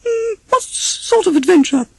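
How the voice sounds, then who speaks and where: A man voicing a small, timid piglet in a storybook, squeaky and anxious, with hesitant repetitions. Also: expressive, a little slow, vocal fry, no room sound, heavily acted. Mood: nervous. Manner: hesitant, nervous stammering repetitions.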